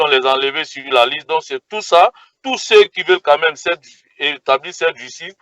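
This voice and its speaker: A man's voice: fairly high pitch, fairly bright tone, dry recording, rough recording. A man organizing a protest march, explaining the plans hesitantly with many fillers. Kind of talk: explaining event plans in an interview.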